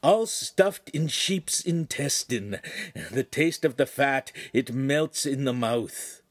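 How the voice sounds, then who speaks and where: A man's voice: medium pitch, animated, no room sound, voice pushed up. A man doing a fawning, courtly butcher. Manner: slow, fawning sales patter. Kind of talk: audiobook character voice.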